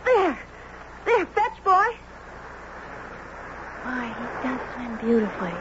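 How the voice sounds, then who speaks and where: High-voiced breezy teenage girl, radio drama. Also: big pitch swings, takes it slowly. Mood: breezy, enigmatic. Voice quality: clear, young voice. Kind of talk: old radio drama acting. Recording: noisy, dull old radio broadcast recording.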